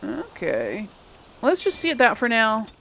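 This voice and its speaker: A woman's voice: fairly high pitch, huge pitch swings, a little slow, a bit bright. A woman hosting a casual gaming let's-play, chatting and thinking aloud as she plays. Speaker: gaming channel host playing through a game.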